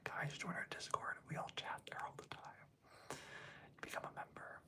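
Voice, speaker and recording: An ASMR livestream host chatting to viewers in a soft whisper, rambling affectionately and drifting between thoughts and the chat. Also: moderate pace.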